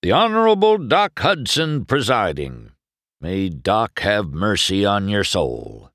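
A man's voice: fairly low pitch, animated, takes it slowly, fairly bright tone, no echo, clean studio sound, voice pushed up. A man voicing a stern small-town sheriff car in an audiobook, barking out court business in an official manner. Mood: solemn, official.

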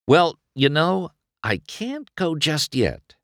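A man plays a young race car hero in a storybook, cocky yet kind. The talk is playful and easygoing, with a lifted, lively pitch and a close, dry sound. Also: normal speed, no room sound.

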